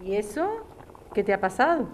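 A woman's voice: medium pitch, very animated, normal speed, slight background noise, natural voice. A woman from playing a caring, practical neighbour in an audio drama, direct, informal and protective. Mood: friendly.

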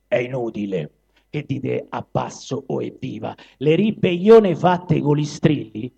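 A man voicing a commanding lion who makes a rallying political speech in verse with a Roman accent, measured and emphatic. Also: mid-range voice, vocal fry, warm tone, breathy edge, muffled recording, voice pushed down.

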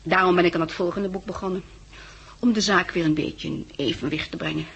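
An actress playing a poised, candid young society woman in a 1960s Dutch radio drama, soft and playful, with a Netherlands accent. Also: on the low side, fairly even intonation, a little fast, vocal fry, slightly rough, heavily acted. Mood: wry, confiding. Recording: vintage 1960s radio recording.

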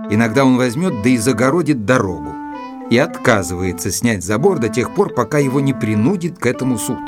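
An actor playing an observant English gentleman who narrates his written report in a measured, expressive audio-drama storytelling style. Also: mid-range voice, no room sound, natural voice. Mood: wry. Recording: dry studio recording with music behind. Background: music.

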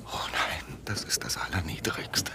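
An actor in a German radio drama playing an anxious, brooding accomplice who is badly shaken and uneasy. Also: male voice, measured pace, natural voice. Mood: whispering dread. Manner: brooding, uneasy, often breathy and whispering. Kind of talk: German radio drama acting, with inner monologue.

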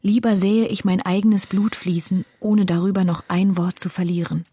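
An actress playing a sombre woman who reads a confessional letter in a radio play, husky and restrained. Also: mid-range voice, flat delivery, moderate pace, dark tone, dry recording, clean studio sound, natural voice. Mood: grave, restrained.

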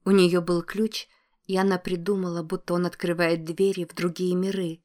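A guarded teenage girl as a woman reader plays her, careful and emotional. Her audiobook lines come in a fairly low, level voice, as if slowly opening up. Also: little pitch movement, a little mellow, natural voice. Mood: wistful, opening up. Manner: careful, slow to choose words, emotional. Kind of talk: audiobook character dialogue.

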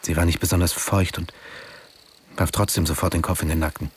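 An actor playing a brooding, conflicted diving instructor, speaking introspectively and hesitantly in an intimate radio drama. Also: male voice, deep voice, little pitch movement, fairly quick, slight background noise, lightly acted. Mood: troubled, reflective. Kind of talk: intimate first-person radio drama narration and dialogue. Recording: studio radio drama with music.

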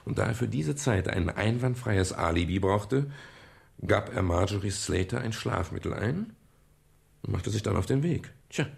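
An actor playing a calm, reflective private detective in a German radio crime drama, speaking in a measured, explanatory way with a gravelly, husky voice. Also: male voice, fairly low pitch, exaggerated voice. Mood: calm explaining. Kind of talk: German radio crime drama, dialogue and first-person narration.

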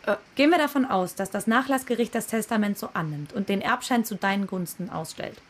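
A woman's voice: medium pitch, brisk, a little hiss or hum, natural voice. An actress playing a cool, calculating, bossy female accomplice in a German radio drama, speaking in a sardonic, matter-of-fact way. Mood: demanding. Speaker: supporting character.

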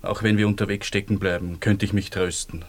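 A man's voice: lowish voice, little pitch movement, fairly quick. An actor playing a weary, wry man in love after the war in a radio play, with an Austrian accent. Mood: frustrated, confiding.

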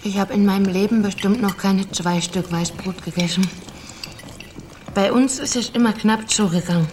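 A woman's voice: medium pitch, normal speed, darkish tone, slight background noise, close to an everyday voice. Actress as a weary, reserved country woman. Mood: modest, polite. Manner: plain, colloquial, short answers. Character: poor, weary, reserved young country woman, wary and curt. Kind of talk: radio drama acting.

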